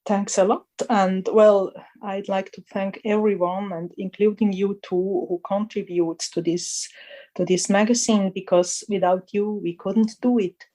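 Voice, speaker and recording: Slow, soft-spoken woman guest. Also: mid-range voice, fairly even intonation, poor audio. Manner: thoughtful, halting, laughs often. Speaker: magazine editor guest. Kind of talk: casual interview chat.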